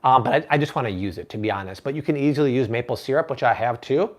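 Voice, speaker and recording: A man in his thirties talks viewers through a recipe on a live cooking stream in his home kitchen. His voice sits at a middle pitch for a man. He is upbeat and chatty, and he often stops to answer viewer comments. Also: animated, rapid-fire. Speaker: cooking show host.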